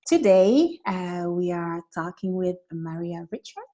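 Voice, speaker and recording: A woman's voice: on the low side, expressive, measured pace, warm tone, dull audio. A woman hosting a casual interview, friendly and hesitant, with many fillers and small laughs. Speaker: interview host.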